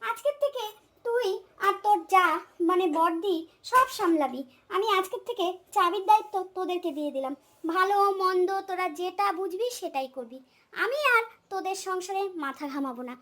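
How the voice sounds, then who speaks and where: A woman's voice: very high-pitched, animated, normal speed, fairly bright tone. A woman voicing an older, doting mother-in-law in a comic cartoon, emotional and effusive, drawing out her endearments. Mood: tearful, happy. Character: doting older Bengali mother-in-law, scolding but soft-hearted.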